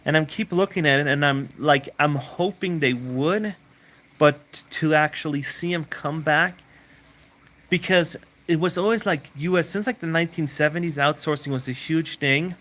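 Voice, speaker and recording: Bright-voiced man rambling through live Q&A. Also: fairly high pitch, moderate pace. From Switzerland. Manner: rambling, repeats words, frequent restarts. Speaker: livestream host answering viewer questions. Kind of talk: casual live Q&A chat.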